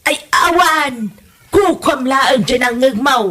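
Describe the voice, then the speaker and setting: A woman playing a cranky, curt mother-in-law with a husky voice and an Ilocano Filipino accent in a comedy audio drama. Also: sits high, lively intonation, takes it slowly, bright, forceful tone, exaggerated voice. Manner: curt, dismissive. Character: grudge-holding, cranky mother-in-law snapping at her family. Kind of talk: comedy radio drama acting.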